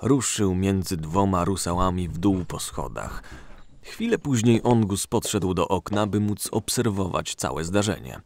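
Very low, gravelly male narrator. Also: animated, measured pace, darkish tone. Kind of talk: scripted fantasy audio drama narration.

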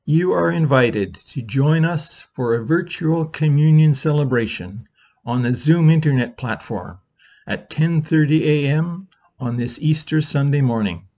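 Older man, soft benediction by webcam. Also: mid-range voice. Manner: quiet, measured reading. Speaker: minister. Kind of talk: benediction and announcements. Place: home room. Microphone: webcam on video call.

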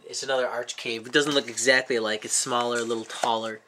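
Man with a cold, halting speech. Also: medium pitch, little pitch movement, bright, forceful tone, slight background noise. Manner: hesitant, many ums and restarts. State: sick with a cold. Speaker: aquarium hobbyist vlogger. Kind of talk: casual show-and-tell vlog. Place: indoors at home.

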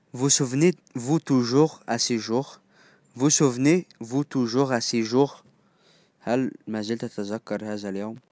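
A man with an Egyptian accent teaching phrases, repeating each one slowly and then glossing it in quick, casual asides. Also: mid-range voice, a little mellow, no echo, clean studio sound. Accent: Egyptian Arabic. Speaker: language teacher. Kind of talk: phrase-by-phrase language lesson.

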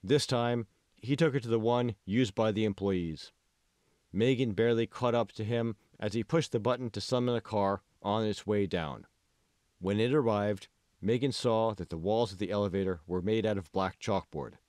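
A man's voice: fairly low pitch, moderate pace, a little mellow, no room sound, clean studio sound. A man reading scripted audiobook narration as the author of the book.

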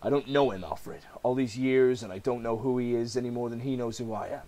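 A man's voice: medium pitch, fairly even intonation, a little fast, warm tone, lightly acted. A man voicing a brooding, serious, frustrated masked vigilante in a comic-book reading. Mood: troubled.

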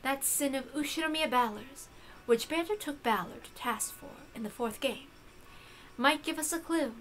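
A woman voicing an aloof, analytical female witch in a visual novel, in a measured, formal and deliberate way. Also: high-pitched, a bit bright, faint music behind, rough recording. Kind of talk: visual novel character reading.

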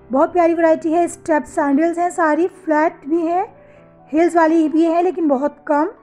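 A woman's voice: sits high, a little mellow. A woman, hosting a fashion channel in a casual, chatty voice-over that addresses her viewers as sisters and mothers. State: sore throat. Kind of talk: casual voice-over for a slideshow of products.

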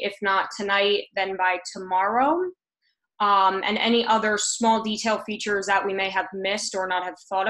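Young woman on a video call doing a team progress report, medium pitch, kinda halting and earnest. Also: fairly bright tone, low-quality recording. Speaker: student developer presenting a team update. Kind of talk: work presentation over a video call. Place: room at home, indoors. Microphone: computer mic on a video call.

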